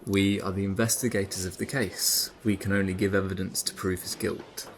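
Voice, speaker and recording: Actor as blunt male investigator in audio play; deep register, vocal fry, matter-of-fact and firm. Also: level tone, brisk, a little hiss or hum. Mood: firm, resigned. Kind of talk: audio drama acting.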